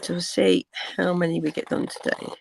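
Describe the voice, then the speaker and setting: Older woman, croaky gravelly voice, slow rambling craft chat, faint background hiss. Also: lowish voice, flat delivery, a little mellow, poor audio. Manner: slow, rambling, many ums and restarts, drops to a whisper while working. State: croaky from cold weather. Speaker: crafting channel host. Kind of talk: casual craft tutorial chat.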